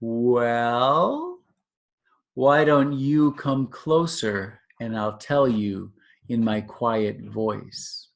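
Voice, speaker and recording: A man voicing a sly male crocodile in a storybook read-aloud, slow and quiet as he coaxes. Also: medium pitch, vocal fry. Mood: coaxing. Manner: slow, hushed, coaxing.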